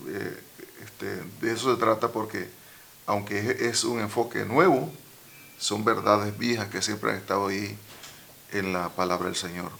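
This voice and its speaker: A man hosting a church radio program and introducing his guest in a studio, talking slowly with many pauses and restarts. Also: lowish voice, steady tone, gravelly, sharp tone, slight background noise. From Nicaragua. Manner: slow, halting, many fillers and restarts. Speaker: radio program host, pastor. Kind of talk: interview introduction.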